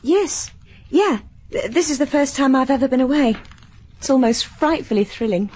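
A high, eager young daughter. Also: female voice, animated, normal speed, darkish tone, breathy edge. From South Africa. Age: young adult. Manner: breathless girlish enthusiasm.

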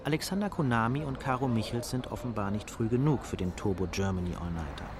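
An actor playing a detached, wry narrator of a radio drama, reading measured scripted narration with street noise and a crowd behind. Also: male voice, fairly low pitch, lively intonation, lightly acted. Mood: detached, deadpan.

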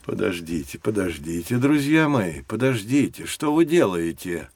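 Kindly professor, very animated pitch, avuncular and expressive, explanatory, children's educational audio play, dry sound. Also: male voice, unhurried, slightly rough, no echo, full character voice. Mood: gently flustered. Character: kindly, enthusiastic male professor teaching two children. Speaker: professor, teacher.

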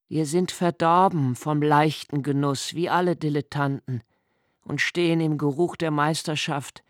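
An actor playing a reflective, melancholy diarist in a literary radio play, speaking intimately and pensively with halting phrasing. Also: male voice, on the high side, fairly bright tone, no echo, studio quality. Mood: earnest, questioning. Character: reflective, melancholy male diarist recalling love and childhood.

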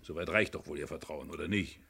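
An actor playing a breezy, roguish sidekick in a radio crime drama, chatty and cajoling. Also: male voice, a bass voice, fast talker, creaky, pushed and bright, slightly rough, full character voice. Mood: reassuring. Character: breezy, roguish male sidekick and treasure hunter.

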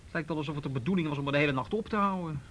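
An actor in a radio play playing an apologetic junior colleague, halting and pleading as he repeats himself. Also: a bit bright, close to an everyday voice. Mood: defensive, pleading. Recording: faint noise and low rumble.

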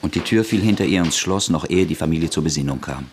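A man narrating a radio play in a measured, steady storytelling manner. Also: very deep voice, heavy background noise.

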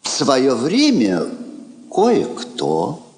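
An actor playing a haughty, ironic king in a classic radio drama, speaking in a pompous, theatrical, deliberate manner. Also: mid-range voice, huge pitch swings, a little mellow, exaggerated voice. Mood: suave irony. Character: haughty, ironic, courtly king receiving a diplomat. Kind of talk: classic Russian stage radio drama acting. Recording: echoey theatre-like hall, old recording.

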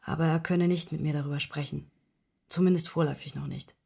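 An actress in a radio play playing a distressed woman who confides intimate matters in a halting, emotionally wounded way. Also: low-pitched, fairly even intonation, creaky, mellow, breathy edge, heavily acted. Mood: confiding, troubled. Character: distressed woman confessing her secret love affair to investigator.

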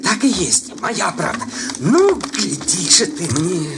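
A high-voiced, sullen, grumbling sexton. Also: male voice, sing-song, slow pace, vocal fry, noisy background, full character voice. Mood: suspicious grumbling. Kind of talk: Russian radio play of a classic story. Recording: loud music behind the voice.

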